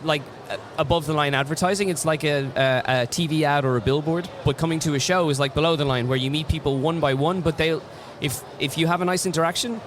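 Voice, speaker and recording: A man's voice: fairly high pitch, fast, hardly any pauses, a little hiss or hum. A man, a comic artist, chatting as an interview guest and telling reflective stories with frequent restarts. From Ireland. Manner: reflective storyteller, many restarts. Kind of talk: casual interview chat.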